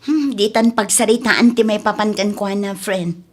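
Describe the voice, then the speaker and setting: A woman's voice: mid-range voice, normal speed, a little mellow, lightly acted. A woman playing a troubled, lovesick young Filipina with an Ilocano accent in an audio drama, hesitant and evasive. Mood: distracted, evasive. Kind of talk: radio-style drama acting.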